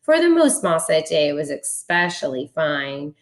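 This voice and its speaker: A woman's voice: low voice, very animated, a little slow. A woman reading a children's storybook aloud expressively, like a schoolteacher.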